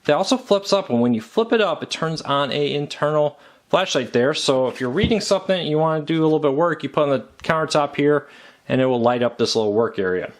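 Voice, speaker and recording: A man's voice: a little high, animated, fast talker. An American man giving a casual, unscripted product review in a conversational, matter-of-fact way. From United States.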